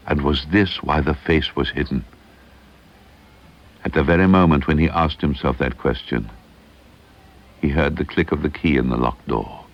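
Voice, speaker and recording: A man giving a measured, suspenseful reading of a ghost story, slowing and hushing at the eerie moments, on an old tape recording. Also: low-pitched, vocal fry. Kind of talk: dramatic audiobook narration. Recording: dull lo-fi archival tape digitised from cassette.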